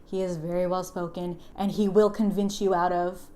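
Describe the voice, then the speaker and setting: A woman's voice: on the low side, level tone, moderate pace, warm tone, natural voice. A woman voicing a grave, weakened male doctor in a comic story retelling, earnest and insistent. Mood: urgent.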